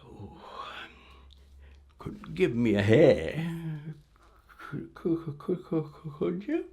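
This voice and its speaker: A man's voice: a little high, big pitch swings, very slow, gravelly, warm tone, husky, pitched above the performer's own voice, exaggerated voice. A man voicing a queen who poses as a stumbling old peasant woman, begging and stammering in a folk tale. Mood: pleading.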